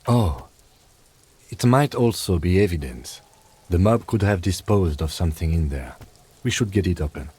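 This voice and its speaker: An actor playing a calm, dry, dutiful police lieutenant in a video game, speaking in a measured, formal way. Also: male voice, low-pitched, husky. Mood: calm, practical. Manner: measured, matter-of-fact, formal. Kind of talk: video game dialogue acting.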